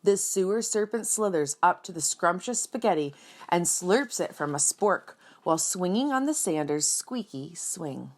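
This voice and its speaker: A woman reading a picture book aloud for children in an expressive storytelling style, speaking directly and simply to young listeners with dramatic emphasis. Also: fairly low pitch, moderate pace. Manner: dramatic emphasis, acts out sound words. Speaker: children's read-aloud reader.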